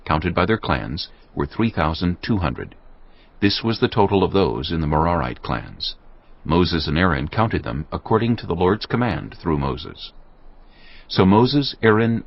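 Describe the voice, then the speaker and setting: Deep, husky male scripture narrator. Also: no room sound. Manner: solemn, measured. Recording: slightly dull, muffled sound.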